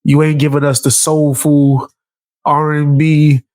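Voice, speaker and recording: A man's voice: a little high, a little slow, no room sound, clean studio sound. A congested man with a New York accent, commentating casually, talking through a stuffy nose and joking with his chat. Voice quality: nasal. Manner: animated, jokes with live chat. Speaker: commentary streamer.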